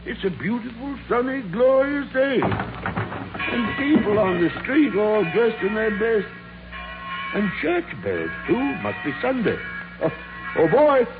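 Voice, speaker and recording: An actor playing an old miser named for repentance, with halting, exclamatory delivery in a 1940s radio drama. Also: male voice, high voice, sing-song, over loud music, exaggerated voice. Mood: giddy joy. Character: frightened, repentant old miser turned giddy with joy. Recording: tinny, band-limited 1940s radio recording.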